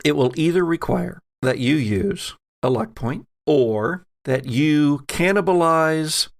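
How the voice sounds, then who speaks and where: A man narrating a tabletop roleplaying game as game master, deliberate and given to dramatic pauses. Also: a little high, animated.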